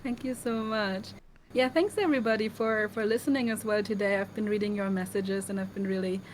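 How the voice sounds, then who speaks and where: A woman's voice: medium pitch, fairly even intonation, brisk, a little hiss or hum, rough recording. A woman guest on a casual stream interview, friendly and giggly. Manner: friendly, giggly, soft-spoken. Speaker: guest singer.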